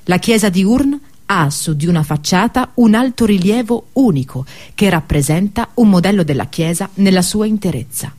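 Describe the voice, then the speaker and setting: Lower-pitched woman reading a literary tale. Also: mellow. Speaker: radio theatre narrator and reader. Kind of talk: scripted literary narration of a fictional travel tale.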